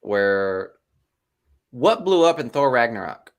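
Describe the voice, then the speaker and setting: A man co-hosting a casual movie podcast, relaxed and profane, searching for words with frequent hesitations. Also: mid-range voice, slow, clean tone. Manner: casual, swears freely, hesitant with many "uh"s. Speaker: podcast co-host. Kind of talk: casual chat.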